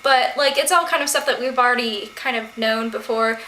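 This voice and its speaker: A woman's voice: a little high, moderate pace, sharp tone. A woman chatting casually about books as a vlogger, slangy and full of asides and questions to viewers. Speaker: book vlogger. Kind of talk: casual book discussion to camera. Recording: echoey room with faint noise.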